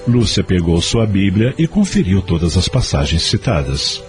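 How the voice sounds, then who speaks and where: A male narrator of an audio novela with a fairly low voice. His scripted storytelling is expressive and very animated in pitch, with soft music underneath. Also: moderate pace.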